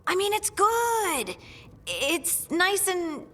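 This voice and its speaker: Game casting: a young dwarven scout, earnest people-pleaser, slow and swooping, in a reverberant hall. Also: female voice, very high voice, big pitch swings, bright, forceful tone, full character voice. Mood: awkward, apologetic. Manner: hesitant, apologetic. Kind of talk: video game cutscene acting.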